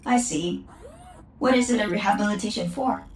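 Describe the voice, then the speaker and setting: A woman voicing a literal-minded female AI assistant who speaks in measured, formal phrases in an audio drama. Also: medium pitch, a little hiss or hum, lightly acted. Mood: calm, precise.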